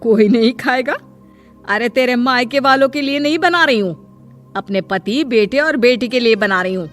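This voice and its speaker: A woman's voice: on the high side, fairly bright tone, faint music behind, lightly acted. Woman acting a bossy, scolding older mother-in-law. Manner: scolding, bossy, drawn-out address. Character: territorial older mother-in-law, stern and sarcastic.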